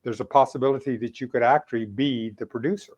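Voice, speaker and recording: A man hosting a conversational interview in a deliberate, encouraging manner. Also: mid-range voice, a little mellow, husky. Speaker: interview host.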